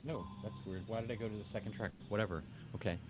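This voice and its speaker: A man's voice: on the low side, fairly even intonation, fast talker, background noise. A man co-hosting a livestream in casual chat, with a dry, offhand manner. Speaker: co-host of a livestream. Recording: music playing behind the voice. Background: music.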